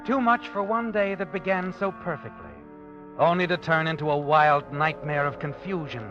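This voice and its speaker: An actor as an ominous narrator over loud music. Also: male voice, a little high, natural voice. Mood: knowing, ominous. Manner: ominous, knowing, deliberate. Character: omniscient sinister narrator taunting the guilty character. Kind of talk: 1940s radio suspense narration in second person. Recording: muffled old radio broadcast recording. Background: dramatic brass music.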